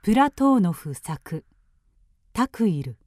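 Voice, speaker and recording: A woman's voice: mid-range voice, sing-song, slow, darkish tone, no room sound, clean studio sound. A woman reading scripted narration for a radio drama.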